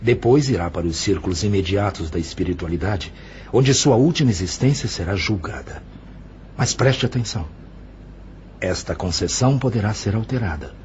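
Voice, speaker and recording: An actor with a Brazilian accent playing a grave, authoritative spirit guide in an audio drama, speaking in a formal, measured, stern way. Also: male voice, lowish voice, a little mellow, lo-fi sound, a little hiss or hum, close to an everyday voice.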